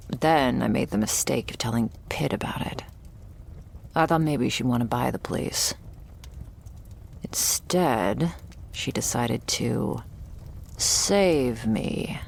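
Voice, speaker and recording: A woman playing a jaded, wry, terminally ill shopkeeper who serves a vampire, speaking in an intimate, deadpan ASMR roleplay monologue. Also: a little hiss or hum, heavily acted. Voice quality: low, husky, gravelly. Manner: deadpan, sardonic, slow and pausing, swearing casually.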